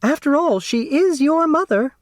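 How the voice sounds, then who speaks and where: Man playing a high, sugary, timid woman. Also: lively intonation, normal speed, no room sound, studio quality. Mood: timid, placating. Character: sappy, timid, overly polite dressmaker woman.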